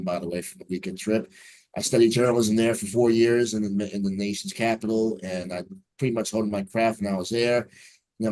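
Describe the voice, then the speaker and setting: Man in his forties, low-pitched, upbeat, fluent storyteller, radio news anchor, interview guest, video call. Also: a little mellow, poor audio. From New Jersey.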